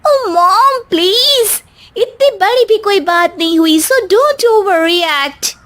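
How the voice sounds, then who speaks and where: A woman playing a spoiled young Indian daughter-in-law in an animated story, flippant and dismissive, mixing in English slang. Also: very high-pitched, drawn-out words, exaggerated voice. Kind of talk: animated moral story dialogue.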